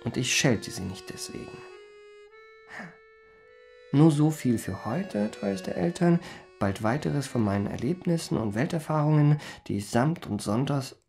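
Urbane, gravelly-edged gentleman impostor. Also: fairly high pitch, expressive, measured pace, faint music behind. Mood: pompous, pleased. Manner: ornate, pompous, self-admiring. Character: urbane, self-satisfied gentleman impostor recounting his memoirs. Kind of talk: radio play first-person narration.

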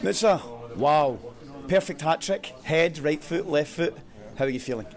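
A man's voice: fairly high pitch, normal speed, a crowd in the background. A man working as a club TV interviewer, putting questions after a football match.

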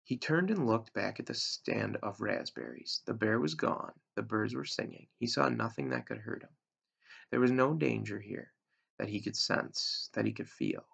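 A man in his thirties with a gravelly, husky voice, reading a storybook aloud like a teacher to a class. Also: on the low side, moderate pace, a bit bright. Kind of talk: read-aloud storytelling narration. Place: small room indoors at home. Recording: dull, lo-fi webcam sound.

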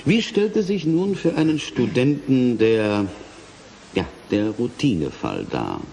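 A man's voice: medium pitch, very animated, slow pace, gravelly, dark tone, a little hiss or hum, heavily acted, poor audio. A male actor playing a formal, probing and sceptical questioner in a German radio play.

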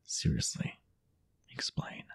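A young man playing a possessive, jealous, dominant boyfriend in an intimate audio roleplay, halting and teasing, often dropping toward a whisper. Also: fairly bright tone. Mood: wary, impatient. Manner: halting, teasing, breathy half-whispered asides.

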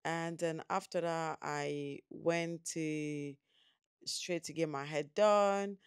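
A woman vlogger chatting casually about her day, repeating words and quoting people in quick bursts. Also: deep voice, steady tone, clear, resonant tone, no echo, studio quality. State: tired after no sleep. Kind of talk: casual vlog recap.

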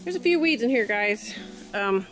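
A woman's voice: on the high side, animated, moderate pace, fairly bright tone. A woman chatting cheerfully as she walks through her backyard garden, filming with a handheld phone. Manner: chatty, cheerful, thinks aloud. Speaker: homesteader vlogger. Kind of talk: casual walking garden tour. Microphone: phone camera held while walking. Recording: guitar music underneath.

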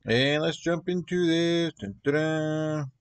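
A man's voice: fairly high pitch, slow pace, clear, resonant tone. A man in his forties from Canada, reacting enthusiastically at home on a desk mic. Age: 40s. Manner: enthusiastic, exclamatory. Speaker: reaction video host. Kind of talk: casual commentary. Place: home room, indoors.

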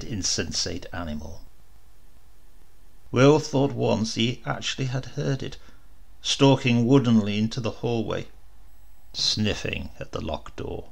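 A man narrating a horror short story dramatically, with deliberate, suspenseful, theatrical emphasis. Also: fairly low pitch, creaky. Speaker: audiobook narrator.

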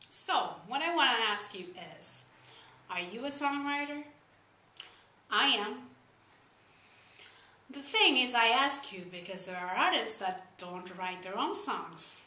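A woman's voice: fairly high pitch, animated, slow pace, pauses often, pushed and bright, roomy sound. A young woman from Spain with a Spanish accent, talking casually to camera about songwriting in earnest, slightly uneven English. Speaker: singer-songwriter vlogger. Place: small room indoors.

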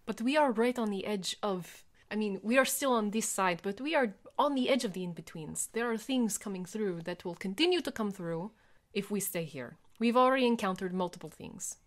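A woman's voice: mid-range voice, animated, normal speed, hardly any pauses. A woman playing a tabletop game, speaking conversationally in character. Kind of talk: conversational in-character role-play. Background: faint music.

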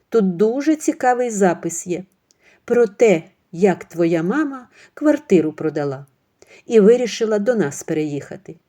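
A woman voicing an angry young wife who speaks firmly and in measured, clipped phrases. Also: mid-range voice, mellow. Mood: determined.

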